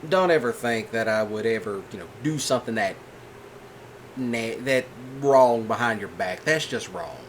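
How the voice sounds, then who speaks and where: A soft-spoken rancher, voiced by a man, gentle and patient with his partner. His drawl is folksy and unhurried, at an ordinary male pitch. A faint hiss sits under the close, quiet ASMR voice. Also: close to an everyday voice. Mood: reassuring. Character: calm reassuring male rancher boyfriend, gentle. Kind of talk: ASMR roleplay.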